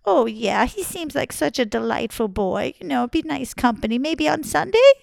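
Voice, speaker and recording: A woman's voice: high voice, lively intonation, moderate pace, gravelly, a little mellow, no room sound, heavily acted. A woman voicing a little old lady who sounds sweet and hesitant, with fillers, while she schemes. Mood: sugary. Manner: quavery, hesitant, overly sweet.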